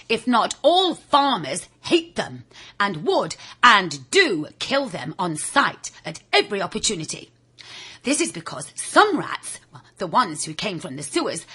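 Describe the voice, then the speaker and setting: A woman reading a children's story in a theatrical, confiding way, with a husky, raspy voice, speaking directly to the listener. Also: mid-range voice, pushed and bright. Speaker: audiobook reader. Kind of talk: animated scripted children's story narration.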